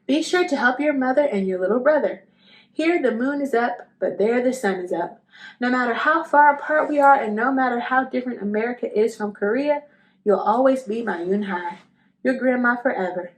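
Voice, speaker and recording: A woman's voice: a little high, moderate pace, roomy sound, slight background noise, lightly acted, poor audio. A woman voicing a loving grandmother's letter in a children's storybook. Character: loving Korean grandmother writing to her grandchild.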